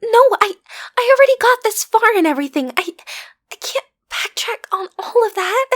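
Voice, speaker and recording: A woman's voice: very high voice, expressive, normal speed, fairly bright tone. A woman playing a cute, easily flustered teenage villain's daughter in an audio roleplay, stammering earnestly as she tries to seem intimidating. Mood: flustered, pleading.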